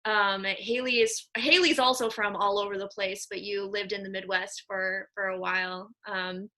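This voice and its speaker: A woman's voice: mid-range voice, fairly even intonation, moderate pace, pushed and bright. An American woman hosting a podcast, introducing guests in an effusive, affectionate, informal and chatty way. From Nebraska, US. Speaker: podcast host. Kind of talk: casual conversational guest introductions.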